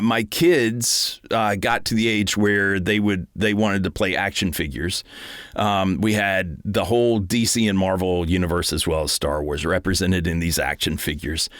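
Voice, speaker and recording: A middle-aged man and voice actor, talking casually from his home recording booth, relaxed and rambling with many ums and restarts. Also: lowish voice, no echo, studio quality. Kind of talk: casual talk to camera.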